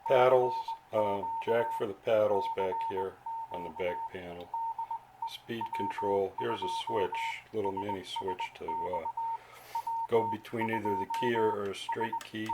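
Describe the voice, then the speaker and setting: A man giving a casual, off-the-cuff show-and-tell as a radio hobbyist, pausing mid-phrase as he goes. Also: lowish voice. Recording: clearly noisy homemade video audio.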